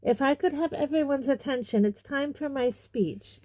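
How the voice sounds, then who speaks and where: A woman voicing a proud, formal gentleman ghost who is easily wounded, in a storybook reading. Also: fairly high pitch, measured pace, dry recording, close to an everyday voice. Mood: pompous. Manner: formal, pompous.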